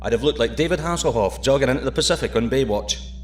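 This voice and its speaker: An actor playing a joking Scottish submarine pilot who misses home, in an audio drama. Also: male voice, mid-range voice, lively intonation, fast, over music, close to an everyday voice. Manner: cheeky, joking.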